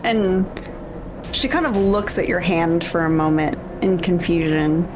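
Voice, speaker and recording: Low-pitched woman narrating as a tabletop player. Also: unhurried, noisy background. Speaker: player in a tabletop roleplaying game. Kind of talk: improvised third-person description of her character's actions. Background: background music.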